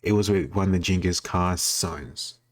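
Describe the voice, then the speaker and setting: Very deep-voiced American man, casual. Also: measured pace. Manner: rambling, opinionated, self-correcting. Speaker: host reacting to a history quiz video. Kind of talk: casual reaction commentary.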